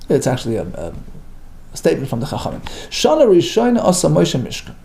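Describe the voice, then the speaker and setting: Lively, gravelly man teaching Talmud. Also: a little high, quick pace. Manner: reads Hebrew and Aramaic phrases then translates, lively and emphatic. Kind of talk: daily page lesson, reading and explaining.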